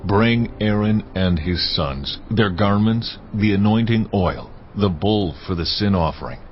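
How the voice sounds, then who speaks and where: A man's voice: fairly low pitch, a little slow, muffled recording, a little hiss or hum, close to an everyday voice. A man playing God, a solemn, commanding male divine voice, in a dramatized scripture reading.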